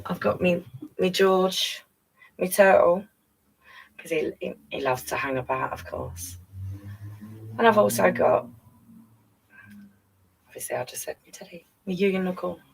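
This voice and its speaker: A woman's voice: lowish voice, unhurried, creaky, pauses often, far from the mic, a little hiss or hum, rough recording. A woman reading a picture book to young children, playfully breaking off for chatty asides and questions to the listeners. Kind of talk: read-aloud story time with chatty asides.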